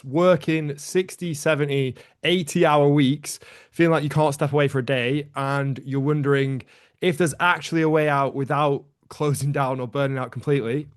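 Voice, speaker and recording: A man from Lancaster with a Northern English accent, giving an energetic webinar presentation over a video call. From Lancaster, Northwest England. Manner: upbeat, energetic sales-pitch delivery. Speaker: guest presenter, gym owner. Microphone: computer mic on a video call.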